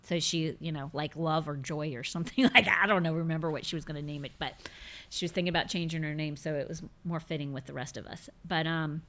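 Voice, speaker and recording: Low-voiced woman in her fifties. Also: fast talker, a bit bright. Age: 50s. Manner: conversational, emphatic, repeats words when stressing a point. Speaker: podcast host. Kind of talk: casual faith talk answering listener questions.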